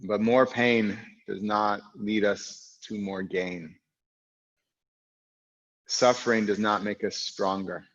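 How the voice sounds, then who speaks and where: Calm low-voiced male yoga teacher. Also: highs cut off. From United States. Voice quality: loud, strong voice. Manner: calm, reflective, speaks in unhurried phrases with pauses. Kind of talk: guiding an online yoga class. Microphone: recorded for an online class video.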